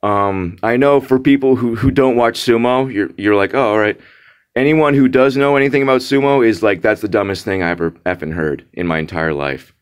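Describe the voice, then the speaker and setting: A man's voice: mid-range voice, brisk, clean tone. A young man ranting casually to his viewers, exasperated and mocking, with many restarts and repeated words for emphasis. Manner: frequent restarts and fillers. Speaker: vlogger. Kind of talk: casual rant to camera.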